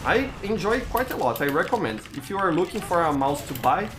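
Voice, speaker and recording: A man with a Brazilian accent, a native Portuguese speaker, chatting casually on a gaming live stream in a halting way, stammering and repeating words. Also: high voice, big pitch swings, fairly bright tone, slight background noise. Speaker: live-streamer hosting gameplay.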